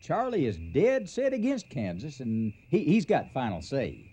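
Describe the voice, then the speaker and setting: An actor playing an amiable, hesitant ranch partner with an in a radio drama. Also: male voice, a little high, wildly swooping pitch, normal speed, dark tone. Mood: apologetic. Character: easygoing, evasive cattle ranch partner. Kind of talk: Western radio drama acting. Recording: slightly noisy radio drama recording.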